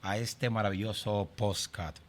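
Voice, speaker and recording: A man's voice: fairly low pitch, fairly even intonation, unhurried. A middle-aged man from Santo Domingo with a Dominican accent, speaking conversationally as a pastor and podcast guest sharing his personal story. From Santo Domingo, Dominican Republic.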